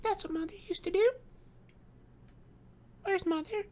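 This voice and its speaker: A tiny storybook girl of five, acted out by a man, speaks in a very high, childish falsetto. She is innocent and solemnly matter-of-fact, with homely, folksy turns of phrase. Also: measured pace, a little mellow. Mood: grave, trusting.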